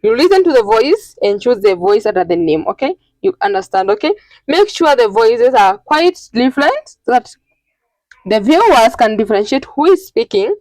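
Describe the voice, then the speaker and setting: High-pitched woman giving a step-by-step tutorial. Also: very animated, moderate pace, a little mellow, dry recording, rough recording. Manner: instructional, repeatedly checking in with "okay". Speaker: tutorial host. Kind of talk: step-by-step software tutorial narration.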